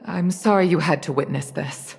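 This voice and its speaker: A woman's voice: low-pitched, moderate pace, gravelly, a little mellow, a little hiss or hum. Stern, dignified mother and commander. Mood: weary, regretful. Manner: authoritative, formal, dignified. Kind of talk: dramatic video game voice acting.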